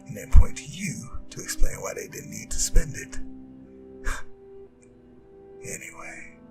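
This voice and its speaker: A scheming, immortal Demon King acted by a man holds forth in a breathy, very creaky half-whisper. His pitch swings dramatically as he taunts and persuades with smug, silky menace. It is a scripted ASMR audio drama, with prominent music running behind the voice. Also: full character voice. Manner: smug, taunting, deliberate, persuasive villain speech.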